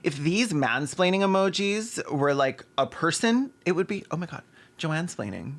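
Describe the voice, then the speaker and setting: A man's voice: a little high, very animated, normal speed. Sardonic Canadian man giving comedic commentary. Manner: sardonic, theatrical. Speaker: video essay host.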